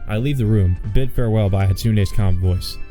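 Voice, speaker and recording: A man's voice: on the low side, normal speed, dark tone, faint music behind. A man hosting a let's play, reading a visual novel aloud with casual commentary.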